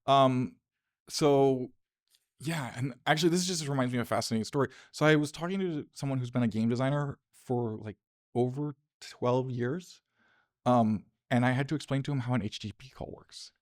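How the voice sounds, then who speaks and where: A man's voice: medium pitch, dry recording. Man in his thirties fielding audience questions at a podium; easygoing, laughs easily, dry close sound. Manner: casual, laughs often, quick asides. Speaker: conference speaker. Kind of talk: Q&A after a tech talk. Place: lecture room, at a podium. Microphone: podium mic, dry.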